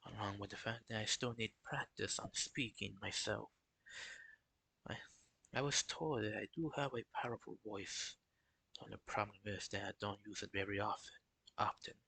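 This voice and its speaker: A young man with a low, gravelly voice talks alone on his stream. His delivery is flat and halting, full of restarts. The tone is bright and close, with a dry sound. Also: dry recording, low-quality recording. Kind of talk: casual solo stream talk.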